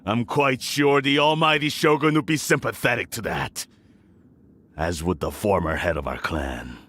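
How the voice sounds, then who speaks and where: An actor playing a proud, scheming older clan lord in a video game drama, speaking in a formal, haughty, theatrical manner. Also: male voice, mid-range voice, normal speed, fairly bright tone, husky. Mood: grandiose.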